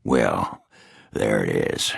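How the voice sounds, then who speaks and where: A male actor playing a gruff, crude, hard-drinking dwarf warrior in a video game, cranky and boastful, with a growling, sardonic, blustering delivery. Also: a bass voice, extremely slow, dry recording, clean studio sound, heavily acted. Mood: bitter, indignant. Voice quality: gravelly, growling rasp. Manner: blustering, earthy swearing, sardonic. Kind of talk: video game character acting.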